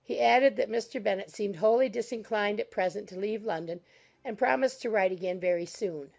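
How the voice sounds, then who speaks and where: A woman narrating a period novel as an audiobook, in measured, formal scripted prose. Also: mid-range voice, gravelly, darkish tone.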